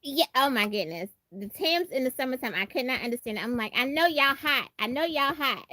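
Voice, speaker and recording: High-voiced, expressive American woman chatting casually. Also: a little fast, no room sound. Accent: American, country Southern-tinged. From Brooklyn, New York. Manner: animated, expressive, laughs easily, repeats phrases for emphasis. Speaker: livestream host chatting with viewers. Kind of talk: casual chat.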